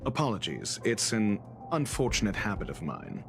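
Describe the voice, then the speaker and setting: A man's voice: lowish voice, huge pitch swings, normal speed, creaky, music in the background, exaggerated voice. A man voicing a haughty, skeptical scholar in a video game, speaking in a pompous, sardonic and elaborately articulate way. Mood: measured, skeptical. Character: haughty, skeptical male scholar interrogating suspects with cool disdain. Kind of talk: theatrical video game dialogue.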